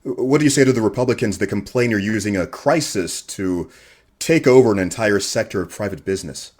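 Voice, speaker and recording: Male actor as earnest radio interviewer, lowish voice, courteous, measured, audio drama. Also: lively intonation, a little mellow. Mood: earnest, challenging. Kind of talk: radio theater acting, news interview questions.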